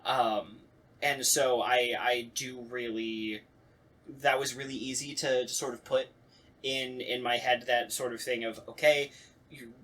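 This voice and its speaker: Male voice actor on a talkback show, medium pitch, informal, frank, self-interrupting delivery. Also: steady tone, measured pace, a bit bright. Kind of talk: casual chat.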